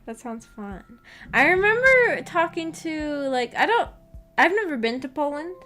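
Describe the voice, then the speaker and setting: A woman's voice: sits high, sing-song, measured pace, bright, forceful tone. A woman chatting casually as a livestream host, rambling in a giggly, self-deprecating way. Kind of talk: casual chat. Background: music.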